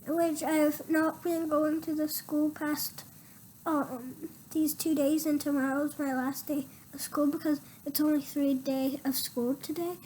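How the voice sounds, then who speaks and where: A girl with a very high voice and a stuffy nose, coughing, explains her home remedies in halting bursts with lots of ums. There is a faint hiss of noise behind her. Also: flat delivery, a little mellow, poor audio. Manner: halting, full of ums and restarts. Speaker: young guest.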